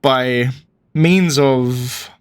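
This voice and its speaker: A man's voice: mid-range voice, very slow, clean tone. A man giving casual commentary as he reacts to a video game story.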